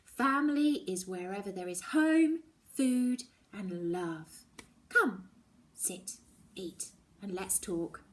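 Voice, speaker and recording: A woman's voice: medium pitch, expressive, slow. A woman voicing a dreamy, loving mother from a storybook, coaxing and emphatic in her delivery. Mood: earnest.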